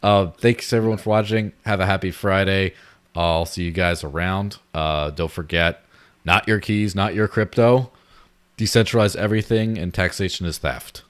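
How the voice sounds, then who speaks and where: Deep voiced guy hosting a chat show, rambly, cracks jokes. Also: normal speed, clear, resonant tone. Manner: joking, rambling, laughs at own jokes. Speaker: podcast host. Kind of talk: casual chat.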